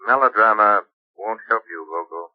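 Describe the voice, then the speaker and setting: A man playing a calm, mysterious vigilante in a 1930s radio drama, speaking in a cool, commanding way on an old broadcast recording. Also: lowish voice, normal speed, narrow, tinny audio, lightly acted. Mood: stern. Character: calm mysterious male vigilante, unseen avenger.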